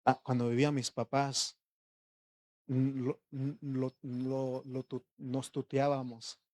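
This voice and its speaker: Conversational preacher, rhetorical questions. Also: male voice, mid-range voice, little pitch movement, takes it slowly, darkish tone, dry recording. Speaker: pastor. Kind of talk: informal sermon.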